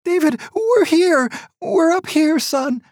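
Man doing a frantic grieving mother, high and pleading. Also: very animated, slow, darkish tone, husky, no echo, studio quality, heavily acted. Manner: pleading, sobbing, desperate. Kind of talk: audiobook reading.

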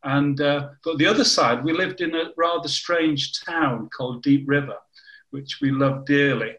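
A man's voice: fairly high pitch, unhurried, a bit bright, roomy sound. An older man from the north of England with a northern English accent, telling anecdotes in an interview and often restarting his sentences. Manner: anecdotal, self-correcting with frequent restarts. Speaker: interviewee, former scientist. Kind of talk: conversational interview.

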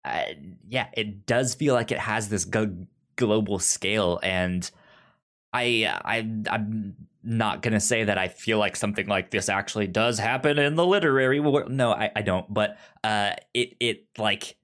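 Slow, low-voiced man with a halting stammer. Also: a bit bright. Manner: halting, stammering with repeated sounds and words. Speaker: podcast co-host. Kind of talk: casual book discussion chat.

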